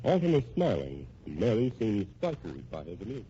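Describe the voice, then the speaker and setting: A man's voice: lowish voice, huge pitch swings, a little fast, warm tone, slightly rough. A man with an American accent narrating a vintage radio drama as its announcer, in scripted dramatic style. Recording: muffled, noisy old radio recording.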